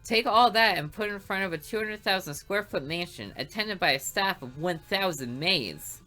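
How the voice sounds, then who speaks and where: A woman's voice: on the low side, lively intonation, moderate pace, soft background music. A woman voicing a brash, show-off teenage boy with big dreams, reading a visual novel aloud. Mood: boastful. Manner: boastful and loud.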